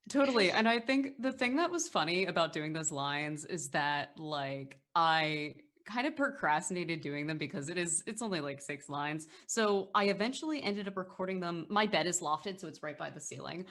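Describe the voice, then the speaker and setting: Woman, slightly low voice, casual podcast chat, voice actor. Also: expressive, normal speed, hardly any pauses, fairly bright tone.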